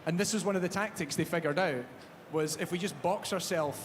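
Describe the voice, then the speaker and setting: A man from Glasgow with a Scottish accent, hosting a live panel chat and telling jokey, animated stories on a theatre stage. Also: sits high, fairly quick. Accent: Glaswegian Scottish. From Scotland. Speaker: panel host. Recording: echoey hall, background noise.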